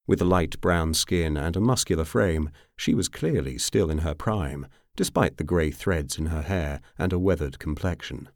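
A man's voice: a bass voice, normal speed, creaky, hardly any pauses, dry recording, clean studio sound. A man reading a fantasy novel aloud as scripted audiobook narration.

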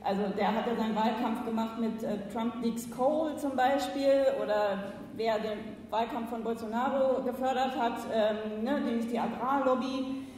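Higher-voiced woman panelist in a hall. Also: normal speed, a little mellow, a little hiss or hum. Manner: thoughtful, with fillers. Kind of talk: panel discussion. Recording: echoey hall, distant mic.

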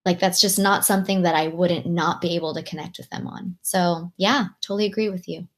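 Slightly low-voiced young American woman, reflective. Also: fairly even intonation, brisk. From Long Beach, California, USA. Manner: reflective, peppered with "like" and "right?". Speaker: podcast guest. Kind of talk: conversational interview answers.

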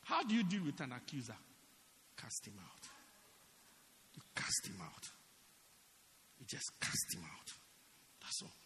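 A man preaching a dramatic sermon as pastor in a church, swinging from loud shouts to quiet asides. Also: medium pitch, huge pitch swings, unhurried, long pauses, big-room sound, slight background noise.

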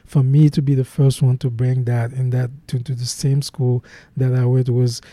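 Earnest Haitian man with a dark voice. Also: medium pitch, little pitch movement, moderate pace, no room sound. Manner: earnest, many restarts and fillers. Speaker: guest, program founder. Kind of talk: interview.

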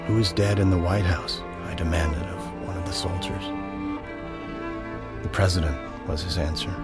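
A man acts a weary, solemn president in an audio drama. His voice is deep and measured, delivered as a dramatic first-person monologue. Prominent music plays beneath him. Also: steady tone, lightly acted. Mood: solemn, haunted. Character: solemn, weary American president recounting a dream. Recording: loud music under the voice.

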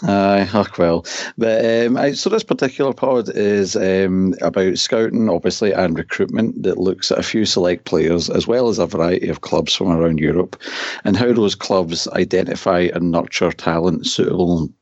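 Scottish guy hosting a podcast, low voice, bit hesitant, umms a lot, muffled dry audio. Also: moderate pace, darkish tone, dry recording. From Scotland. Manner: hesitant, many fillers. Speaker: podcast host. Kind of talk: casual podcast chat. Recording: dull lo-fi sound.

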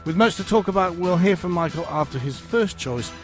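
A man presenting a radio music show, reading a scripted introduction and asking interview questions in a measured, formal way. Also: high voice, animated.

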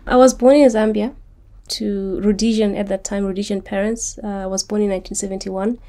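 Woman, late 30s, author guest, warm mellow tone, earnest and articulate. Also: medium pitch, steady tone, fairly quick. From Zimbabwe. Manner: articulate, earnest, often repeats words when restarting. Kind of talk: reflective interview answers.

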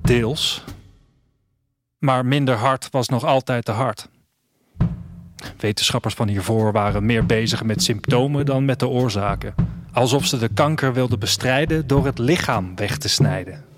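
Music plays clearly behind an actor as a reflective man of a future world. He speaks at a middle pitch, narrating in an intimate, poetic first person. The delivery is that of scripted radio drama. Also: a little slow, natural voice. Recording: music under the voice.

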